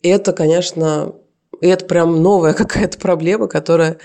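Female radio guest, mellow voice, earnest, hesitant, fills pauses often. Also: on the low side, fairly even intonation. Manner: hesitant, many fillers, earnest. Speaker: foundation director, guest. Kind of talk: radio interview.

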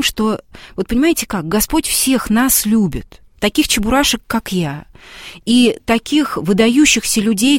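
A woman guest on a talk show, telling a story and acting out the lines of the people in it. Her voice sits at an ordinary middle pitch and sounds dry and close, with no room sound around it. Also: dry recording. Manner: lively storyteller who acts out quoted dialogue. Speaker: guest, television documentary maker.

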